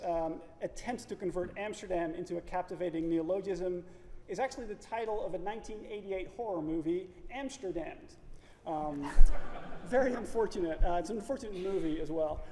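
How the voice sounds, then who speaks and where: A Dutch-accented man gives a dry, wry introduction in a lecture auditorium, his voice fairly high and mellow. He speaks in a measured way, pausing on ums and uhs. The hall adds a long reverb and faint background noise. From Netherlands.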